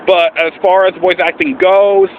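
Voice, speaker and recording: A man talking casually and earnestly in a vlog as an amateur voice actor, with an animated, swooping delivery. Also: high voice, normal speed. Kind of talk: casual vlog explanation with voice demonstrations. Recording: muffled, faint background noise.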